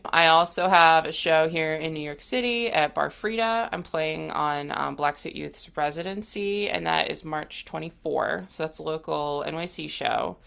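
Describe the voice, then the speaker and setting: Woman, low bright voice with a creaky edge, earnest interview guest. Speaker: interview guest, performing musician. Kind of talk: casual interview conversation.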